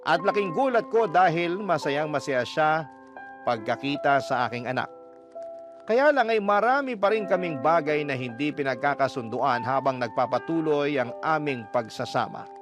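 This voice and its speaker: A man's voice: on the high side, animated, normal speed. A man reading a listener's letter aloud in first person, as radio drama narration. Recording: music bed under the voice.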